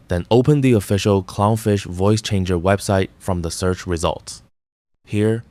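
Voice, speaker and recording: A man reading a scripted how-to guide, giving clear step-by-step instructions. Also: deep voice, darkish tone, no echo. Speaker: tutorial narrator.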